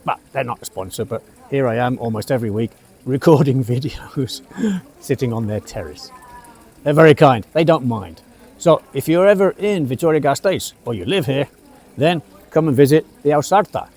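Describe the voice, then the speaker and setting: A man's voice: medium pitch, lively intonation, normal speed, darkish tone. A middle-aged man from England's West Midlands with a British English accent, an English teacher giving a casual spoken lesson on a café terrace, chatty with frequent uhs and asides.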